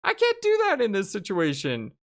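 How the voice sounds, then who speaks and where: A woman's voice: mid-range voice, normal speed. A woman giving casual, chatty gaming commentary as she plays and reads a game aloud. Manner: animated, chatty. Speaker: let's play host reading the game aloud.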